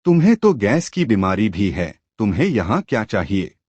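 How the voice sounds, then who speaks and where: A man's voice: fairly low pitch, big pitch swings, moderate pace, darkish tone, breathy edge, dry recording, highs cut off. A man playing a sceptical, plain-spoken village mason in a folk tale, questioning and grudgingly agreeable. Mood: bewildered.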